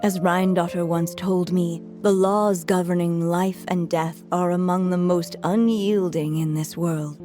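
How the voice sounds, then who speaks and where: A woman playing a frail, elderly writer in a video game story, speaking in a measured, reflective and solemn way, resolute and wistful. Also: lowish voice, fairly even intonation, dark tone, soft background music, lightly acted. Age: older.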